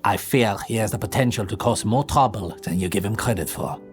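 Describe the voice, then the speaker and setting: A man playing a suave, possessive vampire husband who speaks in smooth, courtly endearments. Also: on the low side, brisk, soft background music, close to an everyday voice. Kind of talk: audio drama acting.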